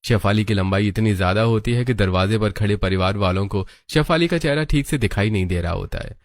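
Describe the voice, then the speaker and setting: Low-voiced man narrating a story. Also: fairly quick, dry recording.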